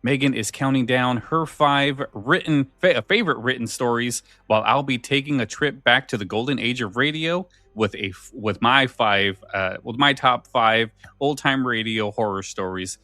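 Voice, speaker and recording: A man's voice: medium pitch, moderate pace, few pauses, pushed and bright, no room sound. A man hosting a podcast in casual chat, often backtracking and repeating phrases as he goes. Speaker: podcast host.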